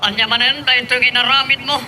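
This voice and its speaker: Sharp, high-voiced stern father played by a man. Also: level tone, fast, faint music behind, full character voice. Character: stern father and boss chasing his daughter. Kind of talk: Ilocano radio-style drama acting.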